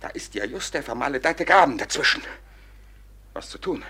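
An actor playing a boastful young schemer who dreams feverishly of grand plans, declaiming excitably in a classical radio play. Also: male voice, medium pitch, normal speed, breathy edge. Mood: gleeful, excited.

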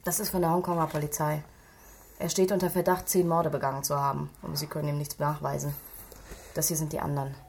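An actress playing a stern, worried policewoman in a radio drama, firm, admonishing and dryly sarcastic, with a husky voice. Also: low-pitched, flat delivery, quick pace, a little mellow, a little hiss or hum. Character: stern, worried female police colleague, scolding and maternal.

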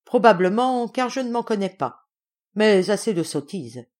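A woman voicing a kindly but gruff old general, blunt and wry, in a storybook reading. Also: mid-range voice, lively intonation, normal speed, a little mellow, pitched above the performer's own voice, close to an everyday voice. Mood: dry, cold.

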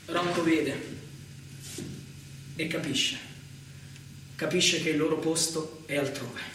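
A man narrating a dramatic stage monologue in a declamatory, measured way with theatrical pauses. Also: a little high, fairly even intonation, noisy background. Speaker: solo stage actor narrating. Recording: very echoey theatre recording, distant, with music. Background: music.

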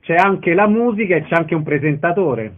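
A man's voice: a little high, animated, normal speed. A man hosting a casual radio interview, putting questions to his guests. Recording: tinny band-limited radio sound.

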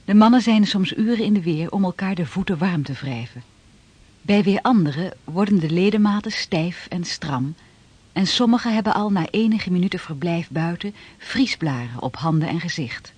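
A man narrating a radio drama from a script, with a husky voice and a factual, storytelling delivery. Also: sits high, lively intonation, normal speed, a little mellow, no echo.

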